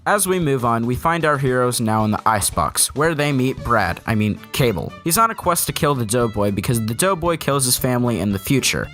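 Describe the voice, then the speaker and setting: A man's voice: medium pitch, lively intonation, fairly quick, hardly any pauses, fairly bright tone. A man narrating an opinionated comedic review in a sarcastic, ranting, sweary manner. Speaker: video reviewer and host. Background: background music.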